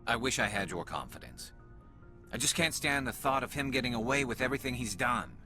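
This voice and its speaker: An actor playing a frustrated, earnest and intense alien soldier loyal to the crew in a video game. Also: male voice, medium pitch, animated, fairly quick, sharp tone, soft background music. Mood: earnest, driven.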